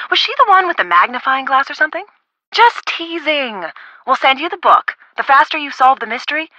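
A teasing young girl friend in a video game, slightly high bright voice, casual and perky. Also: no echo, lo-fi sound, full character voice. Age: young adult. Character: breezy, teasing young female friend and amateur sleuth.

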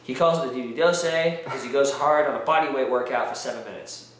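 A man's voice: mid-range voice, brisk, a bit bright, a little hiss or hum. A young man in his twenties directing a comedy sketch, teasing the actors in a dry way. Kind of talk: directing and joking on set. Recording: echoey room, distant mic.

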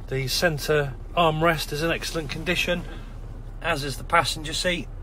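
A man with a croaky, hoarse voice and a British accent, casually talking through a car's features while filming in an indoor showroom. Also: mid-range voice, slight background noise. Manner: relaxed, chatty listing of features. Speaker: car salesman. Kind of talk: walkaround car sales video. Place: indoor car showroom with tiled floor.

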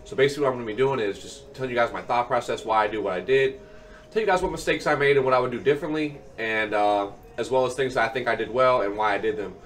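A man's voice: mid-range voice, fast, a bit bright. Nasal young man breaking down his game. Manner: upbeat, explanatory, many fillers. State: slightly nasal from a cold. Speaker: gaming coach and YouTuber. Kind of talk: casual gameplay commentary. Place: small room at home.

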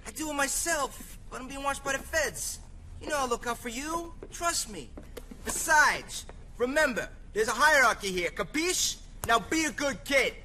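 An actor playing a sleazy, cocky middle-aged mafia capo with a smug, wheedling way of talking. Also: male voice, very high-pitched, lively intonation, moderate pace, pushed and bright, slight background noise, heavily acted. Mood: bossy wheedling. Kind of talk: video game cutscene acting.

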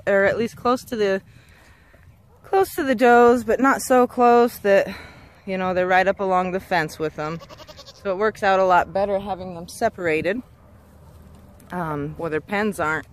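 A woman in her thirties giving a casual outdoor tour of her goat homestead, chatty with frequent ums and restarts, filmed on a handheld camera. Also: medium pitch. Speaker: goat farmer and channel host. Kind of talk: casual farm tour vlog. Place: outdoors on a dry rural homestead. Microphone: camera held at arm's length, then walking behind it.

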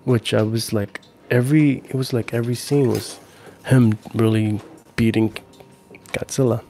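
A man's voice: medium pitch, fairly even intonation, moderate pace, dark tone. Casual male livestreamer, forties, mid-range voice, playful and easygoing, close-miked in a home room. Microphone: handheld-style recorder on a stand, close up.

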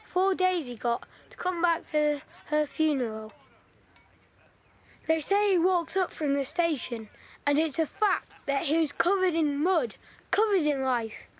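A woman's voice: high voice, lively intonation, unhurried. An English actress playing a chatty village girl telling of her father and wartime life, in a radio play. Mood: storytelling. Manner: chatty, plain storytelling with fillers.

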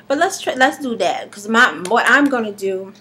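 A woman in her thirties, slightly hoarse and congested, talking haltingly in a casual video diary with many fillers and restarts, recorded on a webcam in her living room. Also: a little high, lively intonation, pushed and bright, slight background noise. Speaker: vlogger. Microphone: webcam at arm's length.

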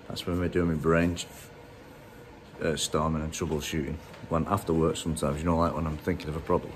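A man's voice: extremely low pitch, little pitch movement, a little fast, a little hiss or hum. A British man in his forties, a car mechanic talking casually and bluntly to his phone camera in a workshop. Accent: British English. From United Kingdom. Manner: blunt, chatty, rambling with fillers. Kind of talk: casual vlog chat. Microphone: phone held at arm's length.

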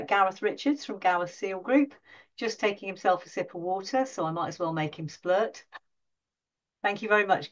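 Upbeat woman hosting a video call. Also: lowish voice. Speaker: meeting host. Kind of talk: hosting an online meeting. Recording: dull lo-fi call audio.

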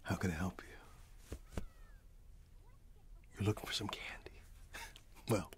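A middle-aged man playing a friendly, wistful candy shop owner in a gentle, hesitant ASMR roleplay whisper. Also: deep voice, steady tone, slow pace.

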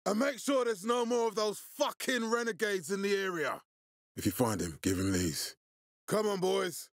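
A man voicing a grave, calculating militia commander in a video game, with a husky, authoritative and deliberate delivery. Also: sits high, lively intonation, no echo, clean studio sound. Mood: urgent, agitated. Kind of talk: video game character dialogue.

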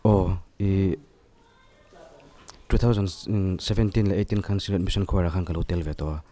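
A man's voice: sits low, normal speed, dark tone. A man, giving casual interview answers as a contestant. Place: indoor lounge. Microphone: handheld microphone held by host.

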